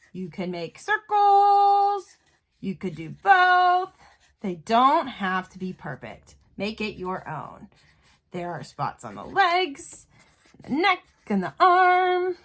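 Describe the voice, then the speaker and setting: A woman teaches young children to draw, one step at a time. Her voice is bright and swings widely in pitch. She takes it slowly, using simple and encouraging phrases as an elementary art teacher would.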